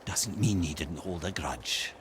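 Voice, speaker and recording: Wry old Scottish storyteller over noisy ambience. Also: male voice, low voice, a little slow. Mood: storytelling, confiding. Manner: wry, eloquent storyteller with theatrical flourishes. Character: witty, wry old counselor, a well-travelled talking head. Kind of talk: video game character dialogue.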